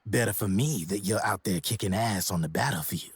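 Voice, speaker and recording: Streetwise American arms dealer, glib and theatrical, low register, dry close game recording. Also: male voice, fairly quick, husky, no echo. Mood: cocky, casual. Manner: slangy, theatrical storyteller. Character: streetwise, glib arms dealer telling grim war stories. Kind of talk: video game cutscene voice acting.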